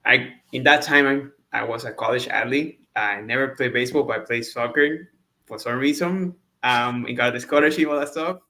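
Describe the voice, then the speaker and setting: Puerto Rican man, Spanish first language, 30s, crisp bright tone, halting guest answers. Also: mid-range voice. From Puerto Rico. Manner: halting, repeats words as he goes. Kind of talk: casual interview answers.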